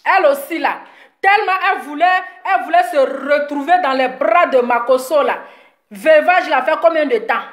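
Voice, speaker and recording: A high-voiced woman rants heatedly to her online audience, circling back to the same points over and over. She sounds a little congested from a cold, and her voice rings in an echoey room. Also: moderate pace. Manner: heated, repetitive, scolding. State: slight cold. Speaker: social media commentator. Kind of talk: live video rant to followers.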